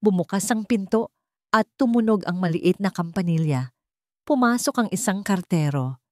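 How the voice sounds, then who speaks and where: A woman's voice: medium pitch, animated, normal speed, no room sound, studio quality. A woman reading a dramatic love story as an audiobook narrator.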